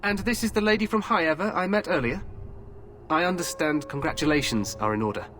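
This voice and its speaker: A man's voice: fairly high pitch, brisk, background noise, lightly acted. An actor playing a proud, stubborn young king in a fantasy video game, speaking in a regal, assertive, formal way. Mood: commanding.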